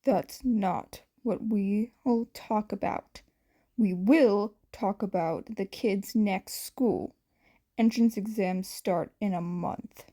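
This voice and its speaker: A woman voicing a stern, protective man in a story with a deadpan, matter-of-fact manner. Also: mid-range voice, takes it slowly. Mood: flustered, firm.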